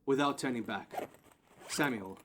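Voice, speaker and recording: A man's voice: mid-range voice, measured pace, a bit bright. A man reading scripted stage directions as the narrator of an audio play.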